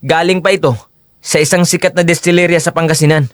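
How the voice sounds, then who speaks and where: A man's voice: a little high, steady tone, quick pace, no echo, studio quality, close to an everyday voice. A man acting the young brothers and friend of a Filipino audio drama with emphatic, theatrical delivery. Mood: firm, bossy.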